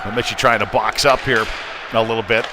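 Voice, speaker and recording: A man doing live hockey play-by-play in halting, off-the-cuff phrases, as the announcer on a game stream. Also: lowish voice, fairly even intonation. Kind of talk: live sports commentary. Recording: noisy live rink stream.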